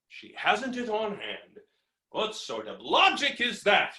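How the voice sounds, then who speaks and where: Hot-headed ranting creditor in a stage farce, really forceful and piercing, echoey room. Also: male voice, sits high, exaggerated voice. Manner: ranting, exclamatory, exasperated, melodramatic. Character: blustering, hot-tempered male creditor, unkempt and indignant. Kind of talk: theatrical staged reading of a stage comedy. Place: indoor room, recorded remotely. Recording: roomy, echoing virtual staged-reading recording.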